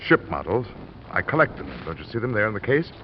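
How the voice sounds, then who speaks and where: A nervous, evasive with a low voice that swings about in pitch. He is guarded and hesitant, in a muffled old radio drama. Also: big pitch swings, quick pace. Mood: evasive, defensive. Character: nervous, evasive well-off male narcotics buyer. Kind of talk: 1940s-50s radio drama acting. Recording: muffled, slightly noisy old radio recording.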